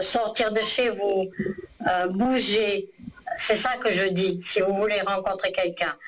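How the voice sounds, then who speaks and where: Woman doing a phone psychic reading, kinda rambling, lots of ums, faint hiss behind her. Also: mid-range voice. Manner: hesitant, meandering, many fillers. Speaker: psychic reader. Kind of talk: phone-in consultation.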